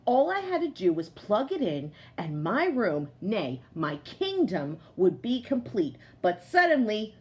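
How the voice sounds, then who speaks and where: A woman reading a picture book aloud to children in an expressive storytelling style, like a teacher, with friendly asides to young listeners. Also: medium pitch, a little slow, few pauses.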